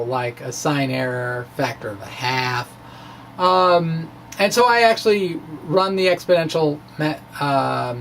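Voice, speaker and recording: A man with a fairly high voice presents his science blog informally. He moves haltingly, pausing mid-phrase, with a playful, wry edge. A light layer of background noise sits under the recording. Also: gravelly. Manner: wry, playful, chuckles at own asides. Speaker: amateur physicist presenting own science blog. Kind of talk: dramatic reading of a physics blog, informal explaining.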